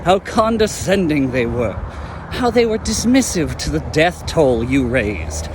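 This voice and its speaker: Man acting out resentful ex-villain, fairly high voice, cold and cutting, audio drama, noisy outdoor take with traffic. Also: very animated, unhurried. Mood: scornful. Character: former villain, male, bitter and defensive.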